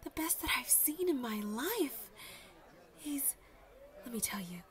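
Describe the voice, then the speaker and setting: Woman acting a high-voiced lovestruck student girl. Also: lively intonation, takes it slowly. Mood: dreamy, smitten. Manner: gushing, dramatic, babbly, sighing. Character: lovestruck, chatty young female student gushing about a crush. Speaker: voice actor. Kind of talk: audio roleplay, one-sided conversation with friends.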